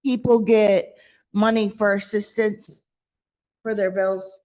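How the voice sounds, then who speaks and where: A woman's voice: mid-range voice, fairly even intonation, takes it slowly, darkish tone, rough recording. A woman with an American accent, hesitantly asking a question at a meeting while searching for words. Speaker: meeting participant.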